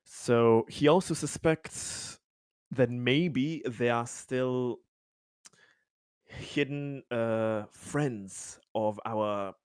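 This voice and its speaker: A man improvising as a player in a tabletop roleplaying game, halting and hesitant, often stammering over repeated words. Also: medium pitch, clear, resonant tone, no echo, clean studio sound.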